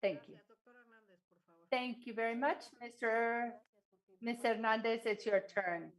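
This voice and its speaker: A woman with a somewhat high voice interprets a formal forum speech. She goes very slowly, setting the content out in short, measured phrases with halting breaks between them.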